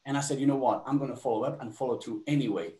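A man's voice: mid-range voice, very fast, warm tone, echoey room. A man, speaking at a webinar over a video call and telling his story with small hesitations. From Belgium. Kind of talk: webinar talk. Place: home office.

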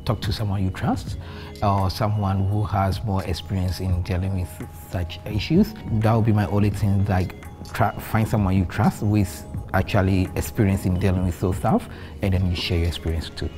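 Young man, low voice. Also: steady tone, moderate pace, music in the background. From Ghana. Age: young adult. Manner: earnest, speaks with pride. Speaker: panel guest. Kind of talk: casual group conversation. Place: bright studio with plants, indoors.

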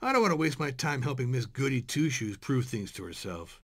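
A woman voicing a cocky, mischievous school boy in an animated series, with a sardonic, teasing manner. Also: very deep voice, fairly quick, heavily acted. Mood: sardonic, dismissive.

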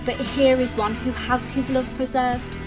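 A young woman playing a knowing narrator in a dark verse audio drama, speaking theatrically. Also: high voice, little pitch movement, a little slow. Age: young adult. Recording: guitar music behind the voice.

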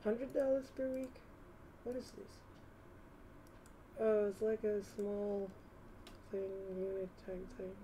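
A woman's voice: mid-range voice, flat delivery, takes it slowly, pauses often, mellow, slight background noise. A woman vlogger thinking aloud casually in a rambling, hesitant way as she browses on screen.

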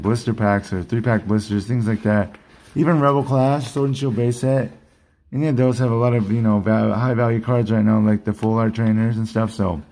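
A man hosting a casual card unboxing vlog, chatty and rambling, slightly hoarse from losing his voice. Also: medium pitch, darkish tone. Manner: rambling, restarts sentences, chatty. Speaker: card collecting channel host. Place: indoor collection room at a desk.

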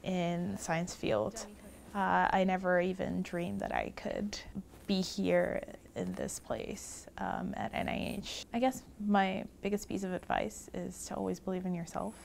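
A young woman from Puerto Rico, a research scholar, talks about her work in a documentary interview, pausing often with ums between phrases. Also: lowish voice, creaky, a little mellow, a little hiss or hum. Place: office lined with bookshelves.

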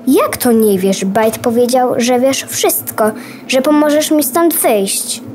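A woman's voice: sits high, slow, darkish tone, slight background noise. A woman playing a polite, curious and unsure little girl in a children's radio play. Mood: curious, pleading.

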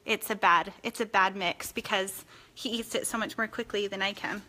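A woman's voice: mid-range voice, fairly even intonation, normal speed, pushed and bright, a little hiss or hum. A woman in her thirties co-hosting a live home cooking show, chatty and reading out viewer comments. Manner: bubbly, reads viewer comments aloud. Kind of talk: live-stream chat. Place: home kitchen.

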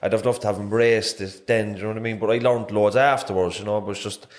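A man's voice: lowish voice, steady tone. A man with a Cork Irish accent hosting a podcast, chatting casually and asking tag questions. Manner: quick, frequent backchannels and tag questions. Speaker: podcast host. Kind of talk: casual chat.

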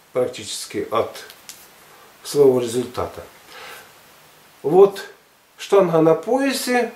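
A man in his sixties talking casually to camera in a halting, emphatic, colloquial way. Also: on the high side. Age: 60s. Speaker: health and fitness vlogger. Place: furnished living room at home, indoors. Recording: echoey room, camera at a distance.

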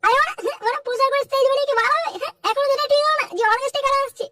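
A performer voicing an excitable, cheeky young male sidekick in a cartoon comedy, chattering eagerly. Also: very high-pitched, rapid-fire, distant mic, noisy background.

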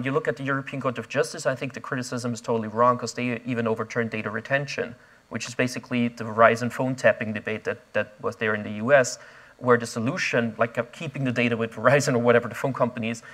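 A young man, speaking onstage at a conference, quick and restless with many fillers and restarts, recorded on a lapel mic. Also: mid-range voice, a bit bright. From Austria. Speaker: invited speaker. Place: conference stage before an audience.

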